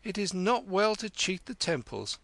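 Man voicing a clever street boy, high and lively, sing-song, gravelly edge, storybook. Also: normal speed, no echo, full character voice. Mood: shrewd. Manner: raised, sing-song mimicry of an elder. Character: clever street boy, thirteen, bold and quick-witted.

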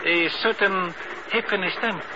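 An actor playing a courteous, sly stamp collector in a 1950s radio drama, ornately polite and self-assured. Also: male voice, high-pitched, unhurried. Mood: unctuous. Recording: noisy, muffled old radio recording.

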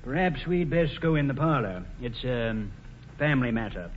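A man acting a grave, measured small-town lawyer in a 1940s radio drama, formal and deliberate. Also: mid-range voice, lively intonation, slight background noise, close to an everyday voice. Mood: reserved. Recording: muffled old radio broadcast.

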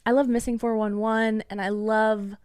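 A woman's voice: fairly high pitch, little pitch movement, normal speed, a little mellow, smooth, no echo, studio quality. A young woman from East Tennessee, a podcast guest, ranting heatedly and swearing freely in casual conversation. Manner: heated, profanity-laced rants.

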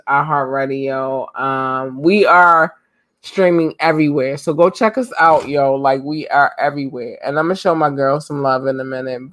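A woman hosting her podcast, her voice getting hoarse. She talks loosely with lots of ums and slang. The sound is close and dry, with no room around it. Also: low voice, no echo. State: losing her voice. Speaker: podcast host. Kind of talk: casual live podcast talk.

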